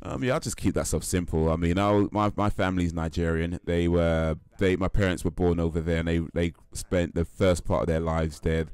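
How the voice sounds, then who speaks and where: A man's voice: low voice, steady tone, moderate pace, hardly any pauses, darkish tone, clear, resonant tone, no room sound. A young man from the UK, chatting casually on his livestream, laid-back and often laughing, with lots of fillers and repeats. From United Kingdom. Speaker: livestream host. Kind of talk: casual chat with stream viewers.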